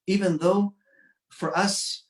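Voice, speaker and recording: A man from Canada giving an earnest, measured commemorative speech, pausing often with fillers. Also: sits high, steady tone, roomy sound, recorded from a distance. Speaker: community speaker.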